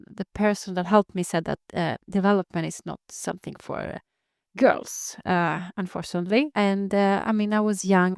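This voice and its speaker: Earnest woman in her thirties, grew up in Greece, smooth clear voice, dry close sound. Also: mid-range voice, no room sound. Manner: earnest, many fillers and restarts. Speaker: community founder, data engineer. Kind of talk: interview answers.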